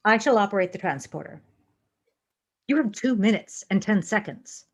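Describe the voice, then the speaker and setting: Woman acting as female alien officer, mid-range pitch, formal, measured, deadpan, logical, precise diction, sci-fi radio play. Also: natural voice. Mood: stern, composed. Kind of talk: fan audio drama acting.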